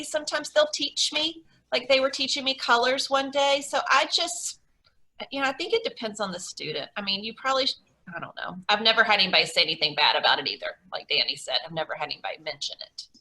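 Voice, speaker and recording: A woman's voice: sits high, animated, quick pace, bright, forceful tone. A woman hosting an online teacher meetup over a video call, talking in a chatty, rambling way with many fillers and restarts. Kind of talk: casual video call chat.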